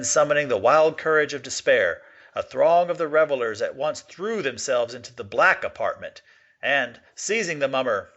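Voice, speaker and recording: A man giving a dramatic reading of a classic story, with expressive, sweeping storytelling. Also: mid-range voice. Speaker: reader. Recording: dull lo-fi recording.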